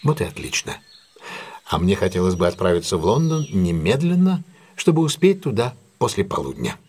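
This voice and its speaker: Casting: imperious, shrewd detective for audio drama; lowish husky voice, very animated, theatrical. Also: male voice, normal speed, exaggerated voice. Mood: commanding. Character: commanding, shrewd, self-assured male detective plotting a trap.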